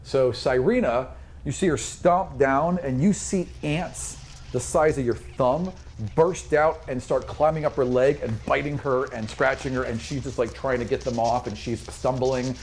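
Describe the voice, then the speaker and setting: A man's voice: mid-range voice, fairly even intonation, normal speed, a little mellow, slight background noise. A man improvising narration as game master in a tabletop fantasy game.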